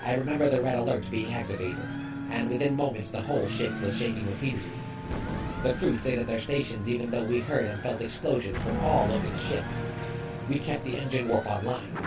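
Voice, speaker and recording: A man playing an earnest, measured engineering robot in a sci-fi audio drama. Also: mid-range voice, distant mic, close to an everyday voice, rough recording. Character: steady, earnest male engine-room robot, senior partner. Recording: off-mic, loud music behind.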